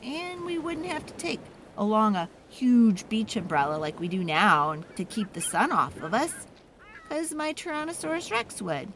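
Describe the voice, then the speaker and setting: A woman voicing an eager, pleading young child in an excitable, dramatic storybook read-aloud. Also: fairly high pitch, slight background noise. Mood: eager, boastful. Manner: wheedling, excitable, dramatic, drawn-out emphasis.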